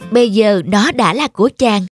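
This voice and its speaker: A woman playing a gentle, grateful young fish spirit maiden in a cartoon folk tale, speaking in a tender, courtly way. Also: mid-range voice, normal speed, lightly acted. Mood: consoling. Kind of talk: animated folk tale acting. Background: music.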